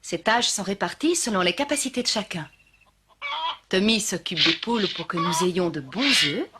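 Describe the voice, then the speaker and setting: A woman playing a kind but firm schoolmistress in a French-dubbed cartoon, speaking in a measured, instructive way. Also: medium pitch, expressive, very noisy, lightly acted. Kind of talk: French animated series dubbing. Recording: faint music behind the voice.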